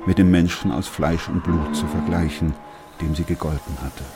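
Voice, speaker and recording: An actor playing a sober, compassionate doctor who narrates a radio play in a measured, grave literary style. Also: male voice, deep voice, steady tone, over music, natural voice.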